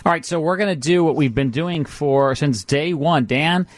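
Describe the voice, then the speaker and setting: A man's voice: fairly high pitch, normal speed, no room sound. A man hosting a radio program conversationally, often repeating words as he goes. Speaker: radio host.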